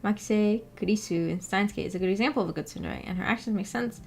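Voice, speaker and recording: A woman's voice: mid-range voice, fast, gravelly, a little hiss or hum. Woman casually chatting on a livestream. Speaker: streamer, book club host. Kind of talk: casual livestream chat, reading and answering viewer comments. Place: indoor home streaming setup.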